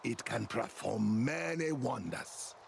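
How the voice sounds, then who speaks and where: A man playing a solemn keeper of ancient lore in a fantasy video game, speaking in a grave, measured, portentous way. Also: mid-range voice, animated, creaky, slight background noise, full character voice. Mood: grave, foreboding. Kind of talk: video game dialogue acting.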